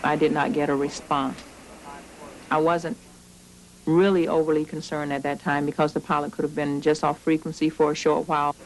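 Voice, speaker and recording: A woman's voice: sits low, flat delivery, normal speed, rough recording. A woman, an air traffic controller, recalling events in an interview. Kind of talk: interview recollection.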